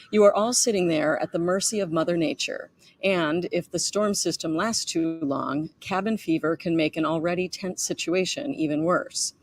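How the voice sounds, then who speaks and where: Middle-aged woman author reading aloud. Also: sits low. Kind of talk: reading own memoir aloud.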